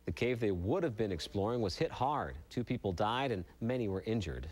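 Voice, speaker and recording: A man's voice: on the low side, expressive, brisk, a little mellow. A man with an American accent anchoring a TV morning newscast, reading news and chatting informally.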